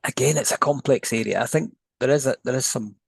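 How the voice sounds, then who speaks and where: A man's voice: medium pitch, quick pace, gravelly, breathy edge, dry recording. A Scottish man, a neuroscientist guest, explaining research on a podcast in a thoughtful, hedging way with frequent restarts. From Scotland. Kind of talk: podcast interview.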